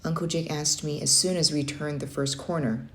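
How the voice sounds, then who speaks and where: A woman's voice: low voice, fairly even intonation, moderate pace. A woman narrating a scripted children's story as a read-aloud.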